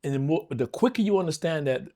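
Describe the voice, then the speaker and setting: A man's voice: a little high, lively intonation, fast talker, warm tone. A man of seventy talking with his son outdoors in the evening, reflective and earnest, leaning on his words like a preacher. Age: 70s. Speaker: father, podcast co-host. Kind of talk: casual faith conversation. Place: outdoors at a home, Sunday evening.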